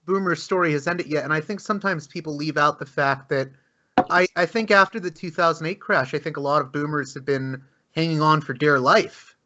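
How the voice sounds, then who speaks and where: A male podcast host with a bright, forceful tone and a fairly high voice. He stumbles into his questions and repeats words before finding them. The audio is dull and lo-fi. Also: a little fast. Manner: hesitant, stammering starts. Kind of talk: interview questions.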